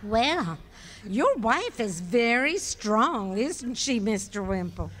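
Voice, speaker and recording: Lady playing a teasing wife, slightly high and really lively, slow easy pace, old radio play vibe. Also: full character voice. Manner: teasing, with frequent laughter. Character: cheerful teasing wife, good-natured and knowing. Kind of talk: live stage radio play.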